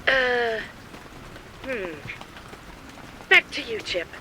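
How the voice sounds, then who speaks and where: Bright, high-voiced TV reporter. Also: female voice, lively intonation, extremely slow, slight background noise, heavily acted, poor audio. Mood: awkward. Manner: formal, projected reporter delivery. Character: brisk female TV field reporter. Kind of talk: TV news field report acting.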